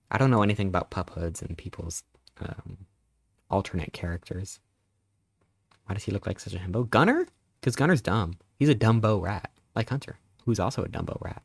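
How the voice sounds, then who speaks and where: A man in his thirties, a live streamer chatting casually and greeting viewers, rambling playfully with sudden squeaky jumps in pitch. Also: no room sound. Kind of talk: casual live-stream chat reading chat messages.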